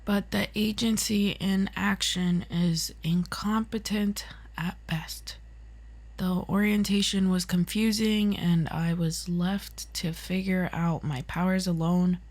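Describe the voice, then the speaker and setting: Woman acting a slow-spoken, bitter ex-hero. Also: fairly low pitch, fairly even intonation, a little mellow. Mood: bitter, restrained. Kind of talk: ASMR roleplay acting.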